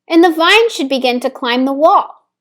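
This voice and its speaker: A woman reading a storybook, voicing a kindly, patient older man in a gentle, reassuring manner. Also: high voice, expressive, moderate pace. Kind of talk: storybook read-aloud.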